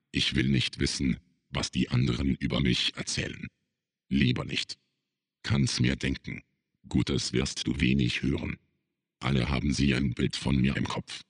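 An actor playing a brooding, defensive man in a radio play monologue, resigned and confessional, with a gravelly, husky voice. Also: extremely low pitch, exaggerated voice. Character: brooding, defensive man musing on his own reputation. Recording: long reverberant hall.

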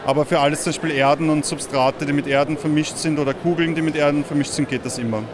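A man explaining his product at a fair stand, talking fast and casually. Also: a little high, steady tone, background noise. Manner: quick, casual, laughs often. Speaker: startup founder at a fair stand. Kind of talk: interview answers. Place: busy indoor fair hall.

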